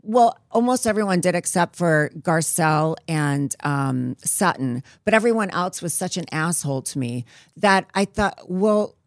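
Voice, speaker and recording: A woman guest in a casual interview, speaking haltingly with many restarts, frank and sometimes swearing. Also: lowish voice, expressive, dry recording, studio quality.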